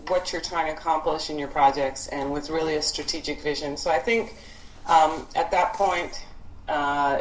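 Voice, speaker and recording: Low-voiced woman on an echoey conference call. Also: a little hiss or hum. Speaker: program leader briefing student project teams. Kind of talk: conference call briefing, instructional.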